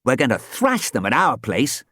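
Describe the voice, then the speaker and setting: A man putting on a high, bouncy voice for an eager schoolboy footballer in a children's audiobook. He sounds excited and boastful, with a dry, close recording. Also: moderate pace, no room sound. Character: excitable young boy footballer, cheeky.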